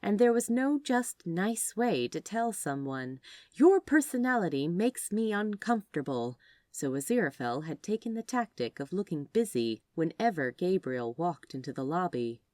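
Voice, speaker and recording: A woman reading fan fiction aloud as scripted, expressive storybook narration. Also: deep voice, no room sound, studio quality. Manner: expressive read-aloud delivery. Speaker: podfic narrator.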